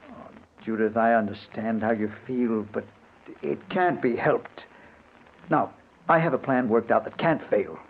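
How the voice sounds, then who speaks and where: A man's voice: medium pitch, a little slow, close to an everyday voice. An actor playing an urgent, persuasive man plotting in secret, earnest and insistent in old-time radio drama style. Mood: urgent, pleading. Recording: tinny old radio, faint hiss.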